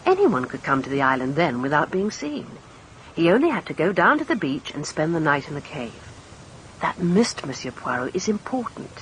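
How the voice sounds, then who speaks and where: A woman's voice: low voice, big pitch swings, normal speed, slight background noise, poor audio. An actress playing a poised, guarded woman suspect with an English accent in a radio mystery drama. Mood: earnest.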